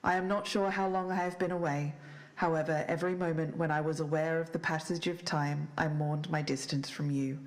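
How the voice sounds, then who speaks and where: A woman playing an earnest, faintly bitter podcast investigator, speaking in a measured, solemn way as if reading evidence aloud. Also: low-pitched, fairly even intonation, close to an everyday voice. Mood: sorrowful, restrained. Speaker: podcast co-host. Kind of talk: scripted fiction-podcast narration.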